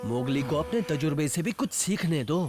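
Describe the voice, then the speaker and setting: A man voicing a calm, wise male panther in a Hindi cartoon dub, speaking evenly and reasonably. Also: on the high side, moderate pace, natural voice. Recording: studio voice under loud cartoon music.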